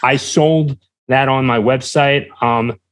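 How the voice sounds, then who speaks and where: A young man answering as an interview guest, talking casually in a hesitant, halting way. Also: medium pitch, fairly even intonation.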